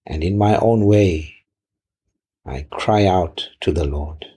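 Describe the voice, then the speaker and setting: A man who is a pastor delivering a sermon in deliberate, measured phrases, often posing rhetorical questions. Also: low voice, warm tone.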